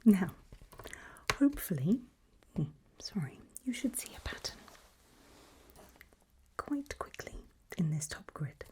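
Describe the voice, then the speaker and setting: A woman doing a whispered ASMR doctor roleplay, speaking breathily in gentle, reassuring, halting short phrases close to the microphone. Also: fairly low pitch, very animated. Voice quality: breathy, mostly unvoiced whisper. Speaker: ASMR performer role-playing a doctor giving a memory test.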